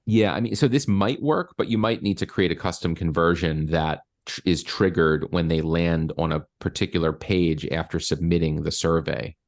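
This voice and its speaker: Low-voiced man in his forties coaching. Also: steady tone, normal speed, few pauses. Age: 40s. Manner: explanatory, step-by-step, with many fillers. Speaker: marketing coach. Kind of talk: screen-share coaching session.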